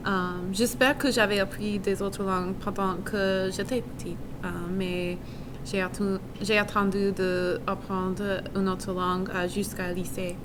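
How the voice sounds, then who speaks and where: A young woman speaks in a class discussion, stumbling over restarts and fillers. Her delivery is slow and flat in pitch. Clear background noise surrounds her. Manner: hesitant, stammering restarts. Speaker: student. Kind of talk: classroom discussion in a second language.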